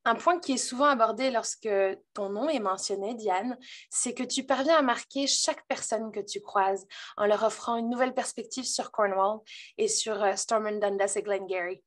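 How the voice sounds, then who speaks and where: A woman's voice: a little high, normal speed. A woman hosting a virtual interview, reading a prepared introduction with many hesitations. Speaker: interview host.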